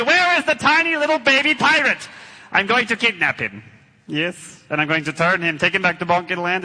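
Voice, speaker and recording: An actor playing a big hairy villain rat in a live children's stage show, husky, theatrical and playing to the audience. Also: male voice, high-pitched, sharp tone, heavily acted. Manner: blustering, theatrical, plays to the audience. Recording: echoey hall.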